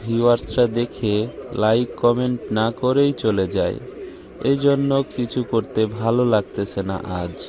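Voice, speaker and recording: A man voicing a discouraged grandson in an animated cartoon, with slow, dejected speech. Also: noisy background, close to an everyday voice. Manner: slow, dejected, low-voiced. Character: gloomy, discouraged grandson who makes videos, animated cartoon.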